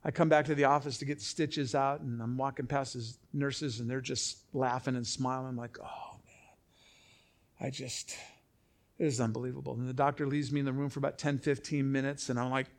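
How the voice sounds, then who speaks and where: A pastor telling a funny personal story in a sermon, animated and breathless as he acts out others. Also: mid-range voice, moderate pace.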